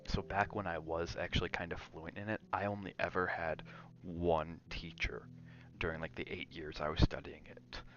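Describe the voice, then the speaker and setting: A man's voice: low-pitched, flat delivery, moderate pace, fairly bright tone, lo-fi sound. A man chatting casually on an ASMR gaming livestream, rambling and drifting between chat and game reactions. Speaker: game streamer.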